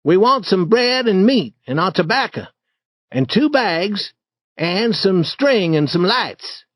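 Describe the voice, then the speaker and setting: A man's voice: high voice, takes it slowly, dry recording, studio quality, voice pushed up, exaggerated voice. A man reading a story, voicing a bold, eager young boy who leads the way. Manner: confident, excited, urging.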